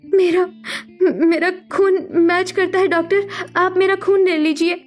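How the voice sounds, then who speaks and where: A woman's voice: very high-pitched, fairly even intonation, unhurried, faint music behind. A woman voicing a devoted, earnest young daughter with a pleading manner in a Hindi cartoon melodrama. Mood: determined, tearful. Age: young adult.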